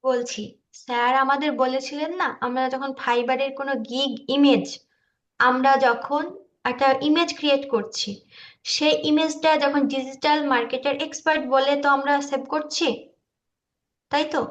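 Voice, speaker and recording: A woman's voice: fairly even intonation, moderate pace. A woman giving an informal online tutorial in a hesitant, self-deprecating way, sounding nervous. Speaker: student presenter demonstrating video upload. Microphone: online video call. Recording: muffled low-fidelity online meeting audio.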